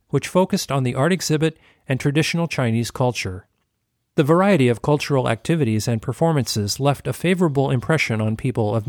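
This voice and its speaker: A man delivers scripted news narration at an even, measured pace. His voice is mid-pitched and sits close and dry, with no room sound around it. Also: no echo.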